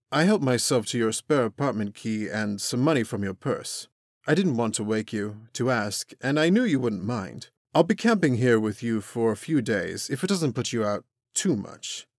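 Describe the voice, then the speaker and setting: A charming young prince acted by a man in a medium-pitched voice, recorded very dry. He is breezy, vain and self-assured, smoothly presuming on others as he reads out his note in a comic dub. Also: dry recording. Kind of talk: comic dub acting, reading a note aloud.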